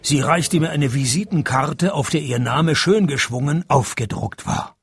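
An actor playing a wry, cheeky private detective who narrates a radio play, deadpan and sardonic, with a Swiss accent. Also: male voice, on the high side, a little slow, breathy edge, no room sound, clean studio sound. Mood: dry narration.

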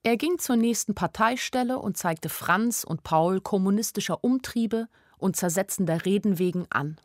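A woman's voice: medium pitch, moderate pace, no room sound, clean studio sound, lightly acted. An actress playing an anxious young woman who narrates a radio play as an intimate inner monologue in short, plain sentences. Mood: grave, numb. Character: anxious young woman narrating her flight, inwardly urgent. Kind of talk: literary radio play narration in present tense.

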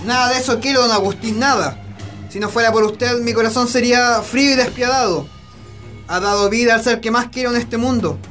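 A lovestruck young Chilean peasant in a radio play. His voice is extremely high for a man, solemn and respectful yet defiant, over faint music. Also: fairly quick, a bit bright. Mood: tender, earnest. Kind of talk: Spanish-language radio drama acting.

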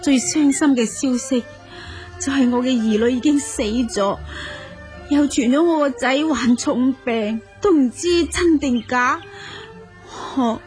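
A learned noblewoman of ancient China, played by a woman in a Cantonese audio drama. Her voice is fairly high and emotive, with sighs and humble, courtly phrasing, over clear background music. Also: measured pace, a little mellow. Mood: wistful, grieving. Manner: emotive, sighing, formally courteous.

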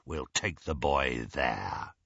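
A man voicing a menacing, predatory werewolf gang leader in a raspy, growling, breathy voice, slow and quietly threatening. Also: extremely low pitch, lively intonation, no echo, dull audio, voice pushed down, full character voice.

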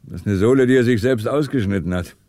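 An actor plays a laconic male commissioner in a sixties German radio crime play. His voice is very deep and recorded without room sound. He asks short, probing questions with dry irony. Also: quick pace, dark tone, dry recording, close to an everyday voice. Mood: brisk, laconic. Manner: terse, probing, dryly sardonic. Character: dry, laconic male police commissioner leading murder case.

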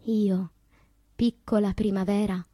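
A slow, husky, tormented woman poet. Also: mid-range voice, little pitch movement, dry recording, clean studio sound. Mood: wistful, intense. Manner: dramatic, slow deliberate delivery with hushed passages. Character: tormented woman poet recalling destructive loves, wistful and intense. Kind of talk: theatrical poetic monologue.

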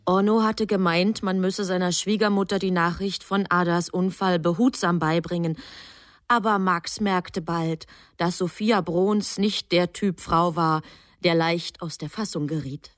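An actress playing a precise, self-assured angel who narrates a radio drama in a measured, matter-of-fact way. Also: female voice, fairly low pitch, fairly even intonation, no echo, studio quality, lightly acted. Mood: calm narrating.

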